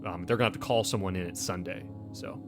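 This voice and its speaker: A man playing a harried, reluctantly helpful official in a tabletop game, halting and making excuses. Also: sits low, faint music behind, close to an everyday voice. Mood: hesitant, obliging. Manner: hesitant, halting.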